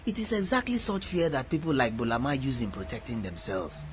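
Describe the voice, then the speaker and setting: An actor with a Nigerian accent playing an earnest, persistent newspaper journalist in a radio drama, speaking persuasively. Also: male voice, medium pitch, animated, fairly quick, slight background noise, close to an everyday voice. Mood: reassuring, urgent.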